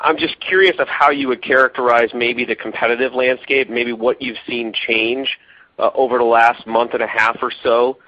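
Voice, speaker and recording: A man calling in as a financial analyst, politely putting a question with tentative, slightly stammering phrasing. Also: mid-range voice. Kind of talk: polite question on an earnings call. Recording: dull lo-fi phone conference audio.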